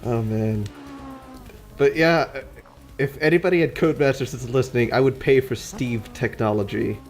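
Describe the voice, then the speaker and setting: A man's voice: medium pitch, normal speed, faint music behind. A man giving casual, conversational commentary over video game play. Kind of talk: casual gameplay commentary chat.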